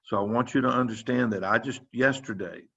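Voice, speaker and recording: A man's voice: medium pitch, brisk, vocal fry, sharp tone, breathy edge. A man, giving an informal lecture to students as a physician, rambling with many fillers and restarts. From South Carolina. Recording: muffled, dull online lecture audio.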